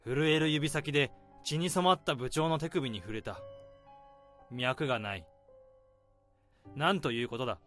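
A man's voice: medium pitch, normal speed, long pauses, fairly bright tone, faint music behind, close to an everyday voice. A man playing a tense, driven office drone. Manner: tense inner monologue, rising to frantic self-pep talk. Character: harried office-worker man hiding his boss's body. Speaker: narrator and protagonist. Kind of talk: first-person radio drama monologue.

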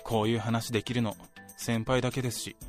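Man acting young male office worker, earnest, polite, ambitious, average pitch, radio play, faint music behind. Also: little pitch movement, brisk, close to an everyday voice. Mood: polite, reserved. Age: young adult. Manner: polite junior speech, talkative about ambitions. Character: ambitious young male salaryman, earnest, self-assured junior colleague. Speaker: radio drama actor. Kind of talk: radio drama dialogue. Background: background music.

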